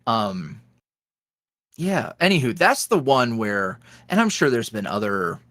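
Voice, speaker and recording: A man's voice: medium pitch, very animated, a little slow, fairly bright tone, no room sound, studio quality. A man being interviewed as a guest, chatting casually and telling rambling stories with frequent laughs. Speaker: ASMR roleplay creator, podcast guest.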